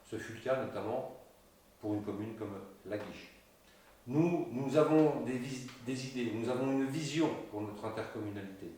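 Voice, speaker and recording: A man giving a prepared campaign presentation in a measured, didactic way with formal phrasing, as a local candidate. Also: mid-range voice, warm tone, a little hiss or hum. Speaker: local election candidate presenting the list's programme. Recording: very echoey room, distant microphone.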